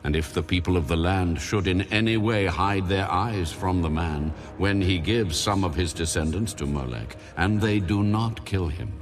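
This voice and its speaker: A man plays an almighty male deity in a scripture audio drama, speaking in a very low voice. He is solemn and commanding, declaring each law and judgment as final. Music plays clearly behind him. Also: normal speed, few pauses, close to an everyday voice. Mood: stern, solemn.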